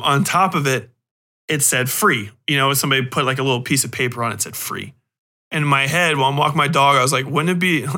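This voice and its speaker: A man hosting a podcast in rambling, casual talk, animated and emphatic, swearing freely. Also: medium pitch. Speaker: podcast host and fiction writer.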